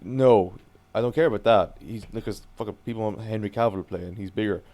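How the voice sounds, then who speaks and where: Low-voiced man, easygoing podcast chat. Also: a little fast, warm tone. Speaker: podcast co-host. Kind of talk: casual film chat.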